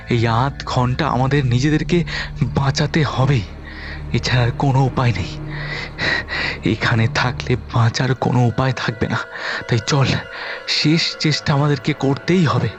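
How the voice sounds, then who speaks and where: A man's voice: medium pitch, lightly acted. A man playing a frightened but resolute young man in a horror audio story, urgent and insistent, repeating himself. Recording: voice over background music.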